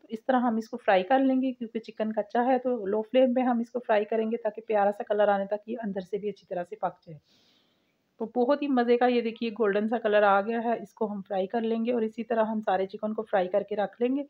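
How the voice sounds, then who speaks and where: A woman's voice: a little high, fairly even intonation, a little fast. A woman giving a step-by-step cooking voice-over as the host of a recipe channel, on a lo-fi home recording.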